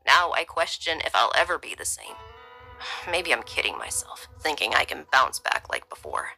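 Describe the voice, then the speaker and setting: Woman doing a worn-out middle-aged security boss, low and hushed, with faint music behind. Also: wildly swooping pitch, moderate pace, creaky, heavily acted, poor audio. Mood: doubtful. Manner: tired, subdued, half-whispered. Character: weary middle-aged male security chief.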